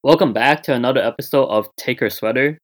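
A man's voice: medium pitch, fast. A man in his twenties with a Canadian accent, hosting a podcast in a rambling, easygoing way full of filler words. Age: 20s. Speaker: podcast host. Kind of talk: casual chat.

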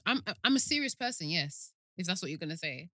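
A young British woman co-hosting a podcast in casual chat, insistent and quick to argue her point. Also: lowish voice, big pitch swings, bright, forceful tone, no room sound, clean studio sound. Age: young adult. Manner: argumentative, insistent. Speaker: podcast co-host.

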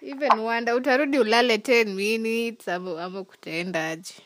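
Relaxed woman. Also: fairly high pitch, a little fast, heavy background noise. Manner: relaxed, teasing, laughs softly. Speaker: podcast co-host. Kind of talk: casual chat.

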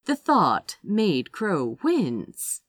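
A woman narrates fiction in a slightly low voice. Her pace is slow and lingering, with dramatic, widely swooping intonation. The sound is dry and intimate, suited to an audiobook. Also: wildly swooping pitch, no room sound. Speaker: audiobook reader. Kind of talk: expressive scripted fiction narration.

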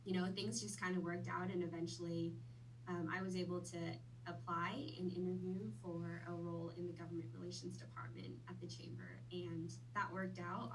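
A woman's voice: on the low side, far from the mic, noisy background. A woman in her twenties, born in Vietnam, chatting easily as a podcast guest with plenty of fillers. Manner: friendly, easygoing, many fillers. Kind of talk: casual interview chat.

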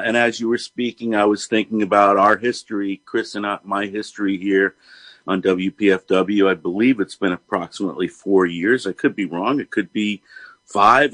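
A man co-hosting a live radio show, speaking reflectively in short, halting phrases without a script. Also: fairly low pitch, a bit bright, dry recording. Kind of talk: unscripted live radio talk.

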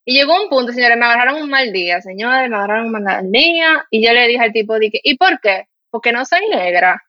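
A woman's voice: fairly high pitch, brisk, dry recording, highs cut off. A woman from the Dominican Republic with a mild Dominican Spanish accent, hosting a casual podcast and telling indignant stories full of fillers. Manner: animated, indignant, storytelling with many fillers. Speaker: podcast host.

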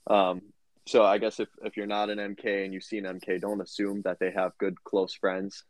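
A young man with a very low voice co-hosts a casual podcast. He gives earnest advice and fills his talk with ums and you-knows. The sound is close and dry. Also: monotone, normal speed, no echo, low-quality recording. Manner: earnest advice, many fillers. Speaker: podcast co-host.

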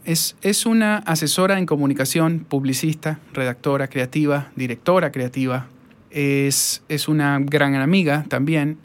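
A man from Ecuador with a Guayaquil accent, hosting a casual interview podcast from his recording studio and chatting enthusiastically with fillers. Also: on the high side. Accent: Guayaquil Spanish. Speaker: podcast host and voice-over artist. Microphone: portable recorder.

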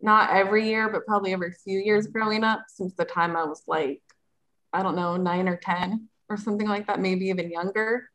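A woman's voice: mid-range voice, steady tone, brisk, a bit bright, poor audio. A woman co-hosting a casual movie podcast, talking in a chatty way with lots of fillers. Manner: chatty, nostalgic, filler-heavy. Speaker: podcast co-host.

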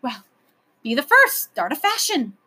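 Woman putting on an excitable, regal male storybook creature. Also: sits high, moderate pace, heavily acted. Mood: excited, insistent. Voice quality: lisping. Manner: grand, pleading, insistent. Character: excitable, lonely, imperious magical royal creature, male, antlered.

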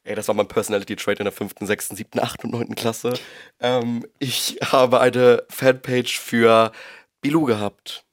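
A young man from northern Germany, a chatty podcast guest who is slightly under the weather, self-mocking and quick to laugh. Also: mid-range voice, level tone. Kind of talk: casual podcast chat. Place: host's bedroom, sitting in bed.

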